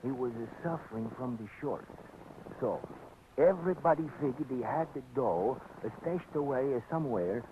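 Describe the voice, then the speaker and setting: An actor playing a shady underworld undertaker who talks in gruff street slang. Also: male voice, medium pitch, animated, moderate pace, natural voice. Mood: hushed, confiding. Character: shady underworld undertaker, middle-aged man. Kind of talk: 1940s radio acting. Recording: tinny 1949 radio broadcast with faint hiss.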